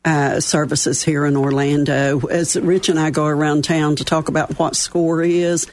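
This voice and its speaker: A woman's voice: deep voice, level tone, normal speed. A woman in her sixties from West Virginia with a Southern accent, speaking as a guest in a radio studio interview with folksy, self-deprecating humor. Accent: Southern American. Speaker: radio show guest, chapter chair. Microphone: studio microphone.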